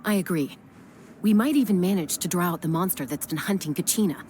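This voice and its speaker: A woman's voice: on the low side, a little fast, darkish tone, slight background noise, lightly acted. A woman voicing a composed, resolute warrior leader in a video game, firm and matter-of-fact.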